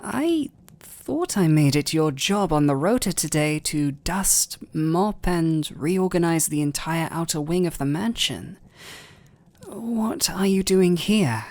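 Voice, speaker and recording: A man with a British accent playing a gentle, overworked vampire lord in a romantic ASMR roleplay, speaking softly, formally and a little haltingly. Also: fairly high pitch, lively intonation, no room sound. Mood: fond, gentle. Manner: soft, breathy, halting, formal. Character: gentle, overworked aristocratic male vampire lord, restrained and devoted.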